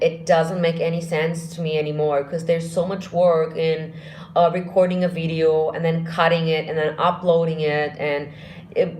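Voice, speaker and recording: Deep-voiced woman vocal coach, chatty. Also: darkish tone, slight background noise. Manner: chatty, thinks aloud with restarts, laughs often. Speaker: vocal coach and channel host. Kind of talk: casual live-stream Q&A answering chat.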